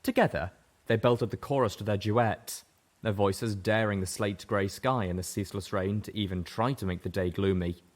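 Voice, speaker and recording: A man reading a story aloud in first person, steady and measured. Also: fairly low pitch. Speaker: audiobook reader. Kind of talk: first-person narration of fan fiction.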